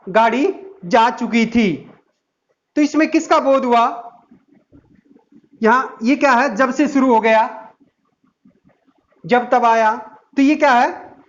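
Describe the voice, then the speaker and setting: Slow-dictating male grammar teacher. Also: extremely high pitch. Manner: slow, dictating word by word, asking and answering own questions. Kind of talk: grammar lesson, dictating examples. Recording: dull lo-fi sound.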